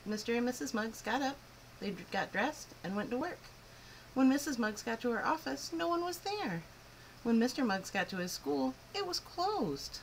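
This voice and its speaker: A woman's voice: a little high, moderate pace. Woman reading a children's story, noisy background. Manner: expressive, clearly phrased, with emphatic swoops. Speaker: storyteller reading a picture book aloud to children. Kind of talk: animated children's story narration.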